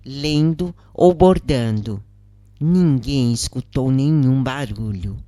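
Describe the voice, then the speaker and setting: A Brazilian narrator reads a scripted story in a close, dry recording. The delivery is slow and deliberate, with measured pauses between phrases, in the manner of an audiobook. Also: medium pitch, a little mellow, no room sound. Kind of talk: scripted narration, audiobook-style reading of a first-person spiritist account.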